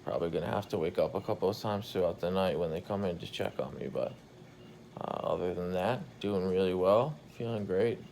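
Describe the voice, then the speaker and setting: A man in his thirties with a hoarse, croaky voice after intubation, speaking tiredly into a phone held at arm's length in a hospital room. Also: a little fast, darkish tone, slight background noise. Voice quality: gravelly, croaky. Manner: tired, low-key, relieved. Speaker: patient recording a video diary. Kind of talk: casual video journal.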